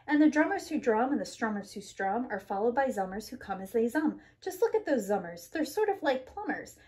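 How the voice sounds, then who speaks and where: Female preschool teacher for children's read-aloud: higher-pitched, very expressive, sing-song rhyming delivery with emphatic rhythm. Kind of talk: animated read-aloud of a rhyming picture book.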